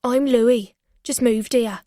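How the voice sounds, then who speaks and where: A woman's voice: on the high side, steady tone, slow, warm tone, no echo, clean studio sound. A woman voicing a sly, pushy young male barman who talks casually and slangily. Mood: friendly.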